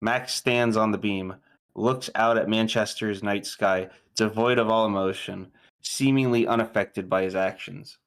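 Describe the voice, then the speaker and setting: A man giving scripted narration, reading screenplay stage directions in a measured, clipped way. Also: lowish voice, steady tone, a bit bright.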